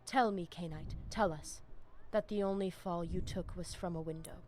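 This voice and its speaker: A woman's voice: on the low side, fairly even intonation, moderate pace, a little mellow, slight background noise, close to an everyday voice. Woman doing grave vampire pack boss lady, formal and fierce, video game, some background ambience. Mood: grave, probing. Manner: grave, formal, stately phrasing. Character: solemn Spanish-speaking female vampire pack leader, fierce and loyal. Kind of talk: dramatic video game acting.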